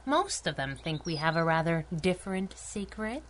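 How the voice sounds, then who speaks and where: A woman's voice: fairly low pitch, big pitch swings, normal speed, slight background noise. A woman playing a spunky, restless young female adventurer and sidekick, brash, slangy and teasing, in old-time radio adventure style.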